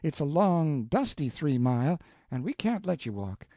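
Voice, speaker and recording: A man voicing a flustered, hospitable older Southern aunt who scolds in a shrill, excitable voice. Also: normal speed, no room sound.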